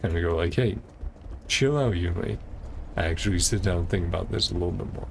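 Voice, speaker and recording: A man's voice: low-pitched, a little fast, gravelly, background noise. A man giving casual gameplay commentary as a streamer, musing aloud in a laid-back way. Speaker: game streamer.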